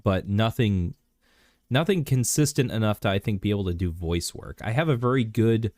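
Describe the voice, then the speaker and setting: Male streamer, low voice, under the weather, rambly casual chat with lots of ums, dry close mic. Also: animated, normal speed, a little mellow, dry recording. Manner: rambling, many fillers and restarts. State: sick, clearing throat.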